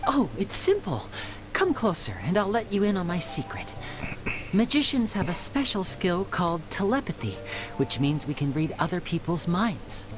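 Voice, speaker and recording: Brief: a charming young magician, teasing showman, lively pitch, music behind. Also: moderate pace. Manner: teasing, soft-spoken showman.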